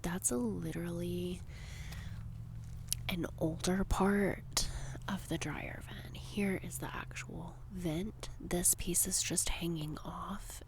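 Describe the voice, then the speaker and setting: Woman, low-pitched, soft ASMR whisper, lots of vocal fry, unhurried, casual and chatty, outdoor backyard, light wind noise. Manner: soft whispering and hushed talk, chatty, informal. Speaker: ASMR vlogger.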